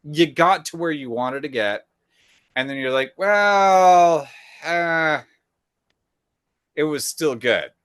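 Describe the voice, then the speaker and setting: A man's voice: a little high, slow pace, pauses often, fairly bright tone, clean tone, no echo, studio quality. A man co-hosting a podcast in casual chat, laid-back and wry, swearing casually. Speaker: podcast co-host.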